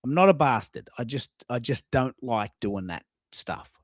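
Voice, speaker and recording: A man's voice: mid-range voice, expressive, moderate pace, no echo. Dry-sounding man hosting casually. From Australia. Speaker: host. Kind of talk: casual chat.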